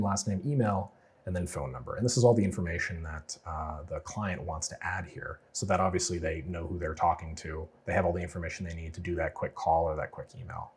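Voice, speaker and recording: A man getting over a cold, casually walking viewers through a software build in a tutorial, explaining as he goes with plenty of fillers. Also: sits low, husky. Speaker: automation freelancer teaching a client build. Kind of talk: screen-share tutorial walkthrough.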